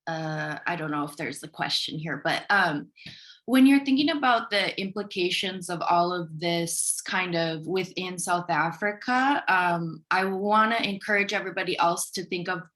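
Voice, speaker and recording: A woman's voice: on the low side, moderate pace, a bit bright. An American woman making a comment as a forum participant over a video call. She speaks casually, hedging with frequent fillers. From United States. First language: English.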